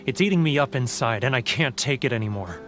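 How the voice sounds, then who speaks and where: A man's voice: mid-range voice, expressive, quick pace, loud music behind the voice, close to an everyday voice. An actor playing a homesick, guilt-ridden male merchant in a game, mournful and prone to stammering. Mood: gloomy. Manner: mournful, stammering, self-pitying. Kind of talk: video game cutscene dialogue.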